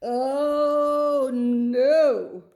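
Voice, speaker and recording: An exasperated storybook mother goat, acted by a woman reader. She is emphatic and motherly, raised in pitch and drawn out slowly. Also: fairly even intonation, a little mellow, exaggerated voice. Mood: appalled. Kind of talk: children's picture-book character voice.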